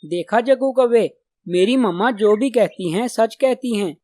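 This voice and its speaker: A woman voicing a sensible, obedient young male sparrow in a children's cartoon, earnest and gently chiding. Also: fairly high pitch, unhurried, a little hiss or hum, natural voice. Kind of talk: Hindi children's cartoon dubbing.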